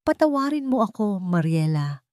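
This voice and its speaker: A woman's voice: mid-range voice, big pitch swings, moderate pace, a little mellow, no room sound, studio quality, voice pushed up, natural voice. Woman voicing a stern, brooding wealthy male CEO. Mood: remorseful.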